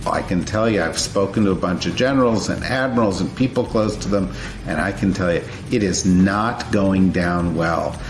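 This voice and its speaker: A man's voice: sits low, moderate pace. A man speaking bluntly and emphatically as a political commentator, with a scornful edge. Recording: echoey room with background noise.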